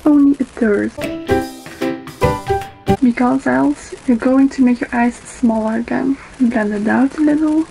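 A young woman in her twenties with a Dutch accent, whose first language is Dutch, giving a makeup tutorial into a webcam in a small bedroom, pausing often with ums as she works. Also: high-pitched, gravelly, over music, low-quality recording. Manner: unhurried, with frequent ums and pauses while applying makeup. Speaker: beauty vlogger. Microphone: webcam in front of her.

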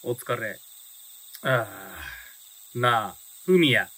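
An actor playing an earnest high-school gang boss in a Japanese radio drama, speaking sincerely in rough delinquent slang. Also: male voice, animated, slow pace, sharp tone, exaggerated voice. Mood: easygoing.

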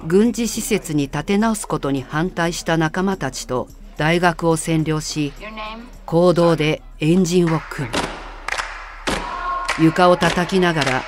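A woman narrating a personal essay about a film, reading in a calm, reflective, measured way. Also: sits low, slight background noise. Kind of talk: calm literary narration over film clips. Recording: voice-over with music underneath.

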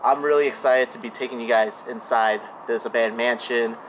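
A young man vlogging casually as he walks through an abandoned mansion, chatty and excitable. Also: medium pitch, brisk, background noise. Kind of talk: casual walkthrough vlog. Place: indoors in an abandoned house.

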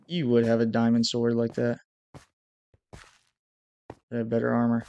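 A man's voice: mid-range voice, monotone, measured pace, gravelly, warm tone, muffled recording. Young man, stuffy nose, headset mic, small home room, gameplay commentary. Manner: hyped intro, then muttered play-by-play. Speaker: gaming YouTuber.

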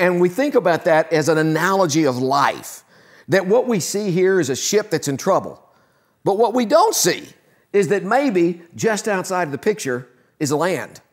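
Conversational older male pastor. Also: a little high. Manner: conversational, earnest, with frequent "uh" fillers. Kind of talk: sermon. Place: living-room church set.

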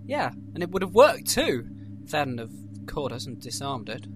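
A wry, cocky rebel fighter, as a man plays him, with a lively, bouncing delivery. Faint hum sits behind him in a fan-made radio play. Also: fairly high pitch, moderate pace.

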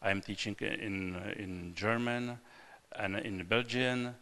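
An older man from the former Czechoslovakia with a Central European accent, answering haltingly in non-native English as he searches for words. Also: fairly low pitch, steady tone, pushed and bright. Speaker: glass artist and teacher. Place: indoor glass studio and gallery. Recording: echoey, noisy glass workshop.

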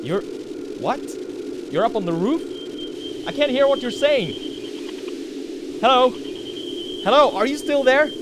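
Behind heavy noise, a scared young man in a horror game. His voice sits high and swings widely as he stammers, confused and agitated. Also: expressive, measured pace, pauses often. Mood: bewildered. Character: frightened, confused young man lost among monsters. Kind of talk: video game voice acting. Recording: very noisy game capture with ambience.

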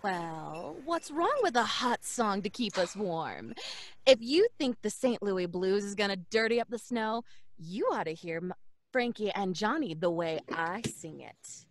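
A woman's voice: mid-range voice, lively intonation, a little slow, slight background noise. A woman playing a brash, fun-loving young wisecracker in an old-time radio drama, with a sassy, playful drawl. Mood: teasing.

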